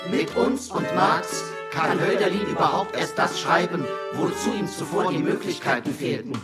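Actress as low-voiced agitprop brigade spokeswoman. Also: expressive, moderate pace, close to an everyday voice. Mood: declarative. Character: solemn agitprop brigade spokeswoman chanting slogans and summaries. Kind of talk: scripted declamatory radio drama. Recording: loud music under the voice.

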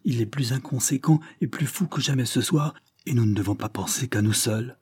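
A man voicing a reserved lord in anguish, speaking in a hushed, despairing way. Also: mid-range voice, brisk, husky, no echo, clean studio sound, close to an everyday voice. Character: anguished, lovesick older brother, a reserved lord.

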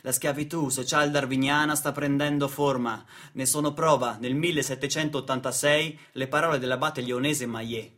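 A man narrating a historical radio theatre piece in a deliberate, declamatory way. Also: medium pitch, fairly even intonation, a bit bright, natural voice. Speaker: stage narrator and actor.

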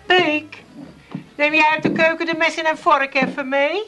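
An actress playing a flustered, fussing Amsterdam housewife who coaxes and repeats herself in a comic radio play. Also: female voice, high voice, steady tone, unhurried, noisy background. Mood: fussing, alarmed. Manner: fussy, coaxing, repetitive. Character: fussing, flustered working-class Amsterdam housewife humouring old father-in-law.

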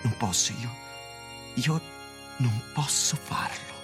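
A woman playing a tormented, ruthless man wrestling with killing, brooding and halting, in a radio drama. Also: rumbling low, over music. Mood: anguished, halting.

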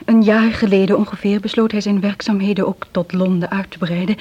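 Anxious confessing woman, husky voice, hesitant delivery, radio play, muffled old recording. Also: mid-range voice, warm tone. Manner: hesitant, halting confession with dramatic pauses. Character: anxious drug-addicted young woman confessing a criminal scheme. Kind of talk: radio drama acting in Dutch.

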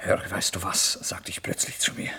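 An actor playing a starving, desperate young writer in a theatrical, volatile radio play monologue. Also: male voice, moderate pace, in a whisper, natural voice. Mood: whispering, mocking. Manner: theatrical, volatile, swinging from hushed to loud outbursts. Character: starving, desperate young male writer, feverish and self-deceiving. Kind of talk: dramatic literary monologue, radio play narration.

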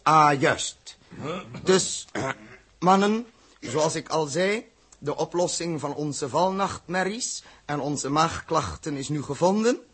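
An actor playing a flustered, mildly pompous spaceship captain in a 1960s Dutch radio drama, hesitant and repeating himself. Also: male voice, on the high side, lively intonation, slow pace, fairly bright tone, breathy edge, full character voice. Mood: formal, commanding. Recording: slightly muffled old radio drama recording.

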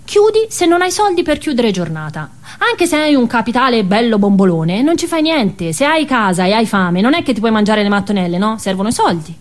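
A woman's voice: a little high, very animated, a little fast, few pauses. A woman with a Tuscan accent performing a narrative stage monologue in a colloquial, ironic storytelling manner.

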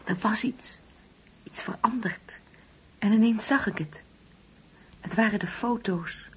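An actress playing a wistful, uneasy older mother in a Dutch radio play, speaking hesitantly and brooding, at times hushed. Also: mid-range voice, slow pace, breathy edge, poor audio. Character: wistful, uneasy ageing mother grieving an absent son.

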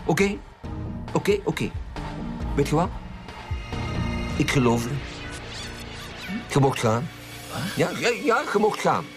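A man's voice: a little high, sing-song, slow, pauses often, over music. A man playing a domineering, sarcastic police commissioner with a Flemish accent in a radio drama, impatient as he interrogates. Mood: sarcastic, mock-sweet. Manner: sardonic, impatient, mock-sweet.

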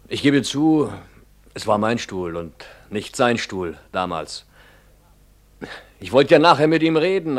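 Man voicing a defensive journeyman, self-justifying, mid-pitched voice. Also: lightly acted. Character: young male journeyman carpenter, defensive witness. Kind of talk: radio drama acting.

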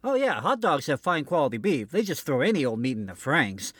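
Male radio host, slightly high voice, very animated, comic stammering banter. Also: a little fast, slightly rough, dry recording, clean studio sound. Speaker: host of an old-time radio show. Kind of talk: scripted comic banter between hosts.

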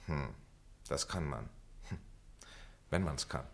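A disillusioned middle-aged man in a radio play. The voice sits very low. He is dry and brooding, with bitter sarcasm and a weariness of himself. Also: lively intonation, takes it slowly, creaky, fairly bright tone, heavily acted. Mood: wry, weary. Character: bitter, sardonic middle-aged former pupil, weary of himself. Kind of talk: German literary radio play acting.

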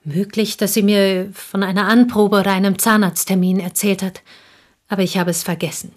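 An actress in a radio play playing a reserved village schoolteacher who answers politely, with restraint and evasion. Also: female voice, medium pitch, level tone, moderate pace, lightly acted. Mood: guarded. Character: reserved, guarded village schoolteacher, woman, dead wife's friend. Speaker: radio drama actor. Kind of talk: German radio play acting.

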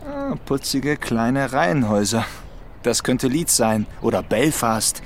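An actor playing a sarcastic, jokey, needling police inspector in a German radio crime drama. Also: male voice, medium pitch, very animated, a little slow, a little hiss or hum. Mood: mocking. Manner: sarcastic, provocative.

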